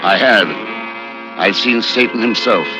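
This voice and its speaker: A man's voice: on the low side, natural voice. Actor as tense hunted man, muffled old radio. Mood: grim, urgent. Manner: tense, dramatic, deliberate storytelling. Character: hunted man, urgent fugitive telling his own suspense story. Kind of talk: 1950s radio drama narration and acting. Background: dramatic music.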